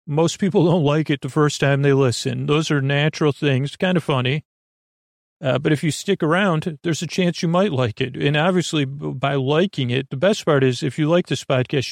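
A man hosting a sleep podcast in a rambling monologue, meandering and halting, circling back and trailing off mid-thought. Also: medium pitch, gravelly, no room sound, clean studio sound. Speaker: podcast host.

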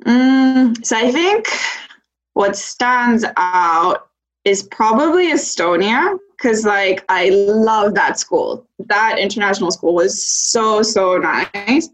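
A woman's voice: medium pitch, expressive, takes it slowly, poor audio. A young woman from Poland in a casual conversation, thinking aloud and often saying "like". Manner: reflective, uses "like" often.

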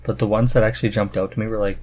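A man hosting a podcast in a casual, informal chat, thinking aloud in halting phrases. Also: fairly low pitch, little pitch movement, a little mellow. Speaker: podcast host. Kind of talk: casual conversational chat about hobbies.

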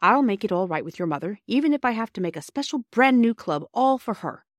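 A woman voicing a cheerful, kindly male country doctor in a storybook, brisk, jovial and reassuring. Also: a little high, lively intonation, dry recording, natural voice. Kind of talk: storybook character dialogue.

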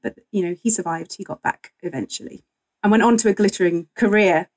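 A woman's voice: mid-range voice, fairly quick, darkish tone, rough recording. A woman talking conversationally as a guest historian, telling stories in an interview.

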